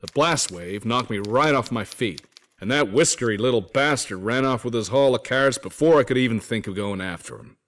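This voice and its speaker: A man's voice: fairly low pitch, fairly bright tone. An actor voicing a weary, wry soldier turned survivor in a video game, narrating his letters home in a folksy, grumbling way. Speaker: voice actor reading journal notes. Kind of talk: dramatic first-person letter narration.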